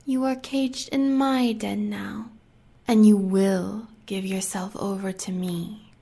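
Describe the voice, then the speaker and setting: A woman's voice: medium pitch, steady tone, slow pace, vocal fry, dark tone. An American woman playing a sadistic, seductive huntress in an audio roleplay, taunting the listener in sultry half-whispers with cruel pet names. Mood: seductive menace. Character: sadistic seductive werewolf huntress, villain's lover.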